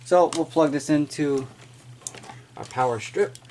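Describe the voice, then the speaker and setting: An American man giving a casual, halting, off-the-cuff hands-on product demonstration as he unboxes it on camera. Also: on the high side, a little mellow. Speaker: amateur unboxing video maker. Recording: noisy amateur room recording with clicking.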